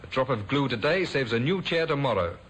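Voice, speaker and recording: Male actor as young conman handyman, folksy sly patter, lively intonation, 1950s radio thriller, faint hiss. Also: medium pitch, a little fast, close to an everyday voice. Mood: ingratiating. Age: young adult. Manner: glib, folksy, ingratiating patter. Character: smooth-talking young handyman conman, wheedling, turning menacing. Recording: noisy old 1950s radio recording.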